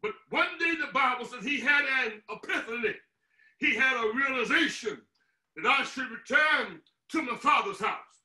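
A man in his sixties preaching a sermon as a pastor, emphatic and declamatory, with deliberate pauses, in a small room at home. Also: extremely high pitch, animated, bright, forceful tone. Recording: roomy echo, distant microphone.

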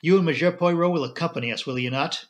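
A man's voice: fairly high pitch, fast, lightly acted. A man voicing an English colonel and chief constable in an audiobook, courteous, affable and official in manner. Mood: hospitable. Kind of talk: audiobook character dialogue.

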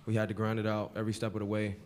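Low flat-voiced young man at presser. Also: rapid-fire, a little hiss or hum. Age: young adult. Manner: soft-spoken, filler-heavy, relaxed. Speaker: college basketball player. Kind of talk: postgame press conference answers. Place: press conference room. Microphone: table mic.

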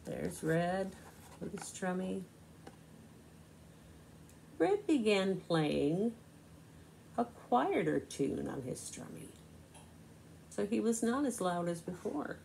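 A woman in her sixties reading a picture book aloud to young children, deliberate and expressive, pausing to explain. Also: on the low side, vocal fry. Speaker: children's storybook reader. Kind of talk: read-aloud storytelling to young children with asides. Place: small living room, indoors. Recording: noisy amateur home recording.

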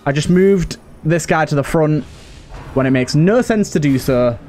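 A man's voice: on the high side, expressive, moderate pace, darkish tone, faint music behind. A man giving casual let's play commentary as a gaming host, talking to himself and to the characters on screen. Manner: talks to himself and to game characters.

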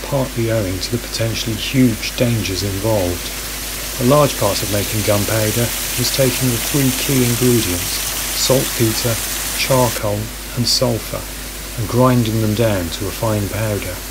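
A man reading a scripted documentary narration in a calm, measured voice-over. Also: lowish voice, heavy background noise. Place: voice-over, recorded apart from the woodland footage.

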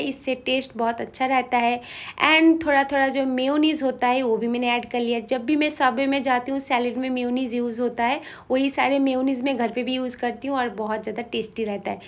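A woman's voice: fairly high pitch, steady tone, brisk. A woman in her twenties vlogging her day at home, chatty and homely, a little feverish and coughing. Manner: chatty and homely, mixing in English words. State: coughing a bit, slightly feverish. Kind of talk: casual chat to camera. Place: bedroom at home.

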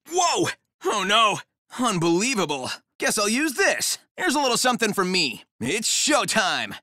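A man's voice: extremely high pitch, pushed and bright, no echo, studio quality, heavily acted. A man voicing a cocky, brash young male hedgehog in a video game, delivering short punchy callouts. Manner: cocky, punchy one-liners. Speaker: game character voice. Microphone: close studio mic in a voice booth.

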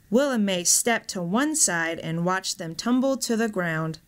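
A woman reading a scripted story in a measured, expressive storytelling manner. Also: medium pitch. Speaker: story reader, actor.

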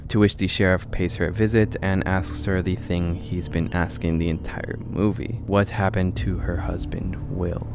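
A man in his twenties reading a scripted movie recap as a video host, speaking closely into a studio microphone. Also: low-pitched. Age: 20s. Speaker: video host, film commentator. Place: small home room set up as a studio. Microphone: large broadcast microphone close to the mouth. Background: music under the voice.

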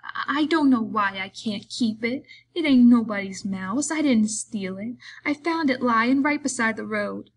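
A woman voicing a big, simple-minded, childlike man who speaks in halting, folksy ungrammatical phrases, meek and pleading, in an audiobook story. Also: medium pitch, voice pushed up, lightly acted.

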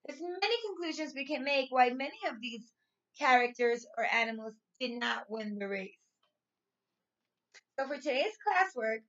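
A woman in her thirties, a primary school teacher reading and explaining to young children in an expressive, clearly enunciated way. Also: high-pitched, dull audio. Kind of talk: read-aloud story and lesson for young children. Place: small furnished room at home, indoors.

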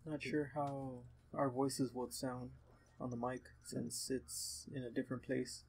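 A man's voice: mid-range voice, little pitch movement, measured pace, gravelly, darkish tone, slightly rough, rough recording. A young man chatting casually as he watches a friend play a video game. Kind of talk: casual chat over a game. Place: room in a friend's house.

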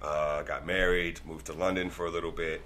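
Laid-back deep-voiced man telling stories. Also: flat delivery, moderate pace, a bit bright, slight background noise. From Denver, Colorado, United States. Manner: laid-back storytelling, laughs easily. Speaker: guest DJ. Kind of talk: casual podcast chat. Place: small studio room crowded with posters. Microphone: desk microphone on a stand.

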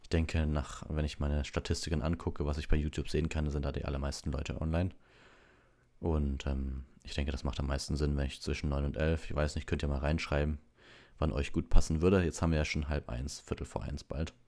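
Very deep-voiced young man, relaxed. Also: a little fast. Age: young adult. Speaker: audiobook reader and livestreamer. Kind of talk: relaxed bedtime reading and casual chat. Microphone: ordinary plugged-in microphone.

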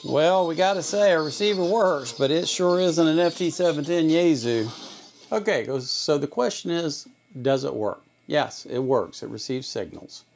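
A man giving casual, plain-spoken commentary as he hosts a hands-on demo, thinking out loud. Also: on the high side, animated, moderate pace, gravelly, faint music behind. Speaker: host testing a radio receiver. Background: shortwave radio noise and stations.

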